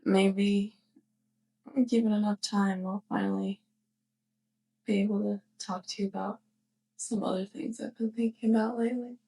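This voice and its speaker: A woman playing a sweet, clumsy young witch neighbor in an intimate ASMR roleplay, speaking softly and hesitantly with shy affection. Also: medium pitch, level tone, moderate pace, pauses often, rough recording. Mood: shyly hopeful. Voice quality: soft, breathy. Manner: hesitant, giggly rambling.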